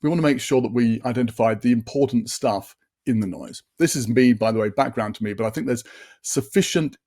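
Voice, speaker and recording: A man's voice: mid-range voice, fairly quick, a little mellow. Chatty older man presenting a webinar. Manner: chatty and upbeat, many fillers and restarts. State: slightly deeper voice from a late night. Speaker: conference session presenter.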